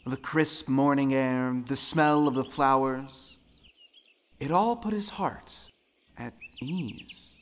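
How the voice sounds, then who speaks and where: A man's voice: mid-range voice, slow, slight background noise. A man giving scripted narration for a folktale audio drama. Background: music.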